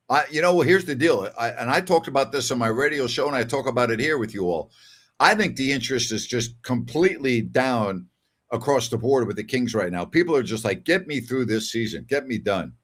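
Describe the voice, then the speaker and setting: A man's voice: medium pitch, animated, fairly quick. An older man hosting a casual sports call-in show, talking in a conversational, emphatic way and repeating phrases for emphasis. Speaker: sports talk show host. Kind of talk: casual call-in show chat reading listener messages.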